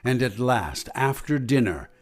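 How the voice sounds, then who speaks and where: Actor as knowing, ominous storyteller, unhurried pace, mid-pitched male voice, vintage radio suspense narration. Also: animated. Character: ominous, knowing mystery narrator addressing the heroine.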